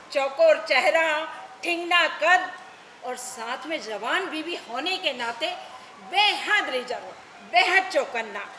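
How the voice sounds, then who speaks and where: A woman stage performer delivering a theatrical, expressive first-person story narration to a hall. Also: high voice. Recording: echoey hall, noisy distant stage recording.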